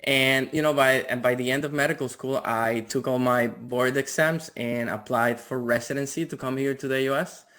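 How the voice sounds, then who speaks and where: A man's voice: mid-range voice, steady tone, normal speed, few pauses, a bit bright. A man in his thirties from the Dominican Republic, talking casually as an interview guest and often repeating words. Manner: repeats words, frequent "like" and "you know".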